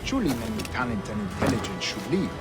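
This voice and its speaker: Casting: male actor as dignified older Spanish statesman for game cutscene, dry and formal, lively mid-range voice, loud score behind. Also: medium pitch, quick pace, over loud music, natural voice. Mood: stern, reasoning. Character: stern, dignified old Spanish governor, principled and dry. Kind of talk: video game cutscene acting.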